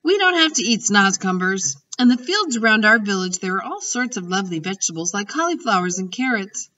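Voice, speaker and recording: Little girl for a storybook played by a woman: proper, questioning, outspoken, bright tone, distant dullish sound. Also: medium pitch, very animated, a little fast, highs cut off, pitched above the performer's own voice. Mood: insistent.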